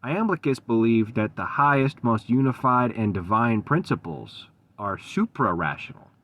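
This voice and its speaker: A man giving an unscripted solo talk for his video channel, measured and thoughtful, with frequent pauses, ums and restarts. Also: on the low side. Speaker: esoteric channel host.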